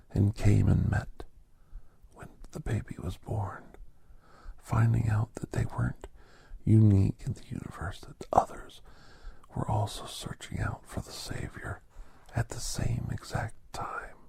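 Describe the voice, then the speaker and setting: A man voicing a gentle, reflective doctor who tells an ASMR holiday story in a breathy, hushed whisper with deliberate pauses. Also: on the low side, heavily acted. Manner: hushed, deliberate, with long pauses between short phrases. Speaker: narrator of an ASMR story podcast.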